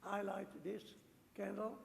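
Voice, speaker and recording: Man, high gravelly voice, solemn ceremonial speech, long hall reverb. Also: monotone, unhurried, a little hiss or hum. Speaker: ceremony speaker lighting a memorial candle. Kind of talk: formal prepared address.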